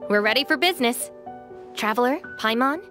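An actress playing a calm, polite young woman who is organizing an event in a video game. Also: on the high side, brisk, natural voice. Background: game music.

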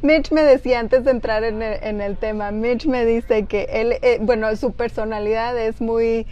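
A young woman hosting a Spanish-language news interview in a TV studio, lively and encouraging with her guest. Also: fairly high pitch, normal speed, muffled recording. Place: small TV studio, seated on couch.

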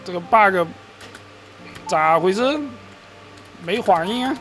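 High-voiced man rambling over gameplay. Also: slow, sharp tone, faint music behind. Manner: rambling, self-correcting, mildly exasperated. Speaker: gaming and crypto-mining video host. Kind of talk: casual screen-recorded game commentary. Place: voice-over on his computer screen recording.

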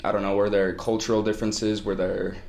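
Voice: low voice, level tone, brisk, creaky, roomy sound, a crowd in the background. A student interviewer asking hesitant questions with many fillers.

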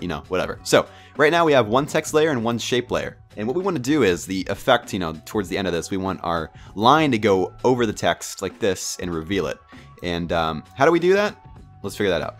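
Stuffy-nosed young man, deep voice. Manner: relaxed, rambling, many ums and uhs. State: stuffy, nasal from a cold. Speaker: tutorial host. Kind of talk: casual screen-recorded software tutorial.

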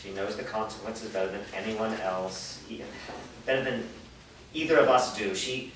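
Casting: male actor as old blacksmith, lowish voice, wary and stubborn; stage drama, heavily echoing room. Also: moderate pace, fairly bright tone, close to an everyday voice. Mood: defensive. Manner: defiant, guarded, plainspoken. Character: gruff, guarded old village blacksmith. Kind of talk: staged reading of a fantasy drama. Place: indoor performance room before a small audience. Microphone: far camera. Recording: echoey hall, distant mic, noisy.

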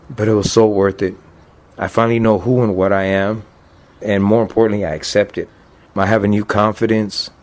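A man in his fifties with a somewhat low voice gives a documentary interview. He talks in a plain, earnest way and lets real emotion show without dressing it up. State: choked up. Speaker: deported veteran telling his story.

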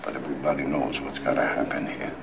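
A gravelly mob boss. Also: male voice, rumbling low, steady tone, noisy background. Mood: menacing. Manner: slow, quietly threatening, deliberate. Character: menacing older male mob boss, cold and controlled. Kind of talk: film dialogue. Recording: film soundtrack with music underneath.

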